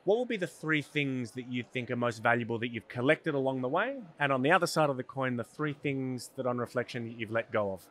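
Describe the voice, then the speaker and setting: Upbeat male podcast host, mid-pitched, who asks loose conversational questions. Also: brisk, hardly any pauses, fairly bright tone. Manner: upbeat, many listener "hmm"s and "um"s. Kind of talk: conversational interview.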